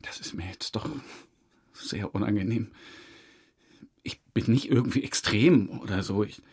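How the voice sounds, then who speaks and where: An actor playing an evasive, embarrassed suspect in a radio drama, speaking haltingly and defensively in a husky voice. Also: male voice, fairly low pitch, darkish tone. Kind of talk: radio drama interrogation dialogue.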